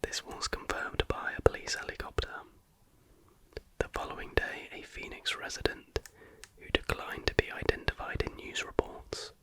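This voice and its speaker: An ASMR host reading factual material aloud in a breathy, unvoiced whisper with a measured, hushed delivery. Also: low-quality recording.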